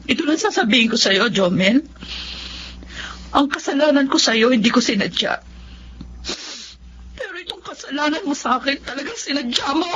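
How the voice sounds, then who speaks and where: An actress playing a bitter, betrayed Filipino wife in a radio drama, accusing and emphatic. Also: female voice, sits high, animated, moderate pace, long pauses, a bit bright, slight background noise. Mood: cold accusation. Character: wounded, bitter betrayed wife confronting her husband. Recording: dull lo-fi sound, music underneath.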